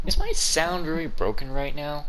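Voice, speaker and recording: A man's voice: on the high side, huge pitch swings, normal speed. A man giving casual gameplay commentary, rambling and swearing as he talks to himself. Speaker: gaming streamer. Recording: clear background noise with clicks.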